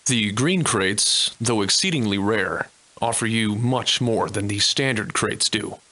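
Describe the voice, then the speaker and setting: A man voicing a folksy, easygoing guide who explains things colloquially and in a measured way for a video game tutorial. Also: fairly low pitch, bright, forceful tone. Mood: fond, instructive.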